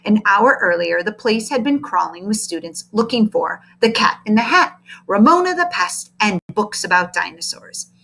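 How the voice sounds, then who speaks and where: A woman's voice: medium pitch, animated, moderate pace, gravelly, a bit bright, poor audio. Woman reading a children's story aloud. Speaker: storybook reader.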